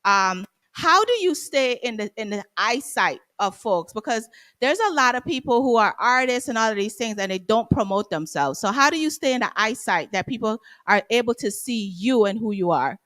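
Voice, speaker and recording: A chatty woman from Guyana hosts a live stream interview, tossing in plenty of "like" fillers. Her voice is bright and fairly high, recorded dry and close. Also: lively intonation, moderate pace, dry recording. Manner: chatty, giggly, many "like" fillers. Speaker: host, interviewer.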